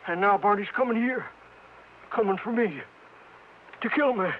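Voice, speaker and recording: An actor playing an older, folksy cowpoke sidekick who stammers and gets flustered, in 1950s radio drama style. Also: male voice, high-pitched, moderate pace, close to an everyday voice. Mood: frightened. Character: excitable country cowpoke sidekick, old goat. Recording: muffled, noisy 1950s radio recording.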